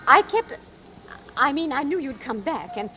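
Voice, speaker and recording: A woman's voice: high-pitched, sing-song, moderate pace, sharp tone, full character voice. An actress playing a fawning landlady who stammers and breaks off her sentences, in a 1940s radio drama. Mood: flustered. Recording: dull lo-fi old radio broadcast, faint hiss.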